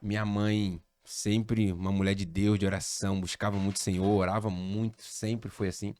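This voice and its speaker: A man's voice: fairly low pitch, moderate pace, slight background noise. Man from Rio telling a story. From Rio de Janeiro, Brazil. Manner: animated storyteller, relaxed slang. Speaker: pastor, podcast guest. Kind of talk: casual storytelling chat.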